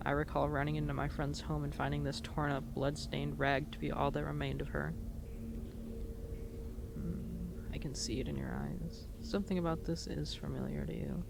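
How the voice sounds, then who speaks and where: Woman acting a creaky, low-voiced hardened huntress. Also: noisy background. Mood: bitter anger, then accusing. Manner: deliberate, menacing, articulate interrogation. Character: hardened, contemptuous werewolf huntress grieving her missing friend. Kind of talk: scripted audio roleplay, dramatic monologue.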